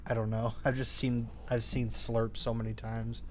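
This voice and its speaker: A man's voice: medium pitch, level tone, normal speed, a little mellow. A young man in casual, informal conversation while programming on a game jam stream. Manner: informal, hedging with "like". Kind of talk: casual chat. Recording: faint background noise.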